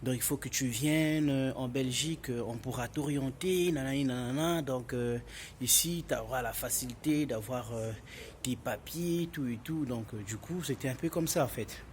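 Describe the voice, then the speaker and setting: A man's voice: mid-range voice, moderate pace. Congolese-accented man storytelling over background noise. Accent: Congolese French. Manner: emphatic, repetitive, addressing listeners as buddies. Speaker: vlogger telling his own story. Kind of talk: casual personal storytelling to camera. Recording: clearly noisy ambient background.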